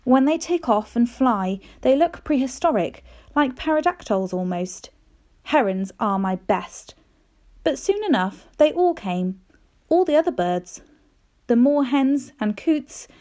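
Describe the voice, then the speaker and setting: A woman reading a children's storybook aloud in an expressive, careful storytelling manner, with dramatic emphasis. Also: high-pitched. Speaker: teacher reading aloud to pupils.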